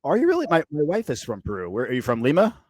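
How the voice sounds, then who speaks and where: A man's voice: on the high side, wildly swooping pitch, dry recording. Man hosting a podcast with a dry close mic. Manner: measured, rambling questions with fillers. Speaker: podcast host. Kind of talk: casual interview chat. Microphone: headset, studio.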